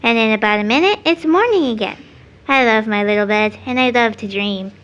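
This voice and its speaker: A woman's voice: a little high, fairly quick, a bit bright, dull audio, natural voice. A woman playing a sweet, dreamy, earnest little girl in an audio drama. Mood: dreamy, happy. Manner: childlike wording, earnest.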